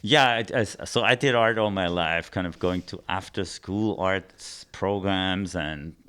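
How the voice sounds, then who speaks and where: A middle-aged man with an Austrian accent who tells his story in a relaxed interview, rambling with many restarts and fillers. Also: low-pitched, measured pace, smooth. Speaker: arts program director.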